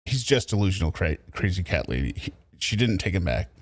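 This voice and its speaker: A man hosting casual true crime commentary, animated and riffing, laughing as he goes. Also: deep voice, fairly quick, no room sound. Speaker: commentary host.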